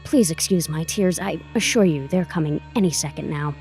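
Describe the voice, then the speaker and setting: An actress playing a brash, self-assured lawyer with breezy, theatrical sarcasm in an audio drama. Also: female voice, low-pitched, normal speed, darkish tone. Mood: breezy, sarcastic. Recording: faint music behind the voice.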